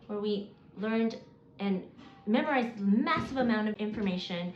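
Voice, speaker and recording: A woman in her forties, raised in the American South, talks through her reasons in an earnest, measured way, sometimes stumbling and restarting. The recording sounds roomy and a little dull, with a faint hiss underneath. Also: medium pitch, expressive. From South Korea, raised in Mississippi. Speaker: homeschooling mom. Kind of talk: reading a prepared list.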